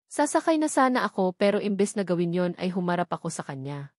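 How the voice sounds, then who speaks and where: A woman's voice: fairly low pitch, big pitch swings, fairly quick, a little mellow, dry recording, studio quality. A woman with a Filipino accent reading a scripted first-person novel as its narrator. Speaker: story narrator.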